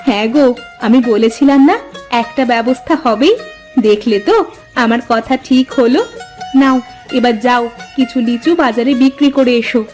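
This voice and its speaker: A patient village mother from a cartoon fairy tale , played by a woman. Her voice is high, homely and reassuring, with background music. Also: normal speed, long pauses, darkish tone, natural voice. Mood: satisfied.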